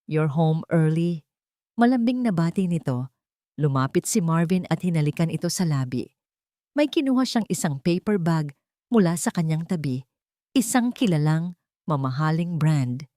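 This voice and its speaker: A woman storyteller reads a scripted drama. Her voice sits low, and the sound is dry and close, with hardly any room around it. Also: very animated, normal speed, warm tone, no echo. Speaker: story narrator. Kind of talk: scripted dramatic narration of a Tagalog romance story.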